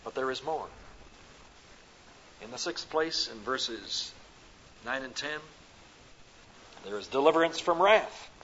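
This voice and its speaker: A man from the American Midwest with a Midwestern accent, preaching a sermon at a conference, rising to loud emphatic peaks and dropping to soft, slow phrases. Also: mid-range voice, lively intonation, slight background noise. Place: conference hall. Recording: dull lo-fi recording.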